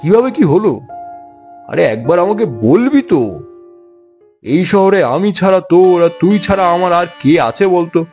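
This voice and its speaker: A man's voice: high-pitched, sing-song, measured pace, long pauses, a little mellow, soft background music, full character voice. A man playing an anxious, caring friend who questions urgently, in a dramatized audio story. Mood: worried, urgent. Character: anxious, caring male friend at a hospital bedside.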